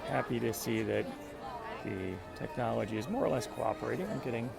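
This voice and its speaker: A friendly man with a gravelly voice hosts a casual livestream while walking outdoors, commenting and greeting viewers by name. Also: mid-range voice, fairly quick, a loud crowd. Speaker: livestream host. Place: outdoors in a busy theme park. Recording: outdoor park with loud background music. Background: background music.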